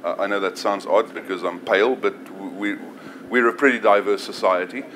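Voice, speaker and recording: South african guy, 40s, fairly low voice, joking around on a conference stage, faint room hiss. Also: fairly even intonation, normal speed. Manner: joking, self-deprecating, many restarts. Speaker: conference speaker. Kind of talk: conference presentation.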